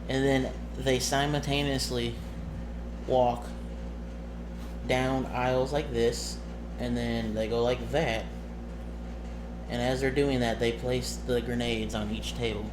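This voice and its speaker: A man acting as game master, casually narrating a tabletop roleplaying game and thinking aloud in a halting, muttering way. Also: mid-range voice, level tone. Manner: halting, muttering to himself while working out numbers. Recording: noisy room with background ambience.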